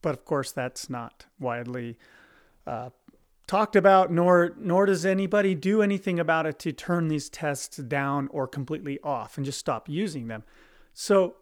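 A man's voice: on the high side, normal speed. A man co-hosting a podcast in casual discussion, talking emphatically and often repeating and restarting his phrases. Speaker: podcast co-host.

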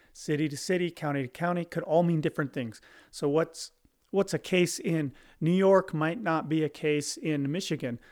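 Emphatic, higher-pitched man talking. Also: a little mellow. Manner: rambling, repeats and restarts phrases. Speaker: podcast co-host. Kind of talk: casual discussion.

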